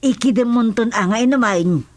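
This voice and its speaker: A woman's voice: on the high side, moderate pace, a little mellow, no echo, lightly acted. A woman playing an older village auntie in a radio comedy drama, bossy, chatty and helpful as she instructs. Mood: helpful, instructing.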